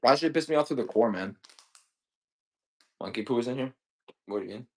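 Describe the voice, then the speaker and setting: A man's voice: mid-range voice, lively intonation, a little fast, a little mellow. Twenties male streamer, mid-range voice, loose slangy profane chatter. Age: 20s. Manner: rambling, profane, slangy, lots of "bro". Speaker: livestream seller. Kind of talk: casual livestream chat.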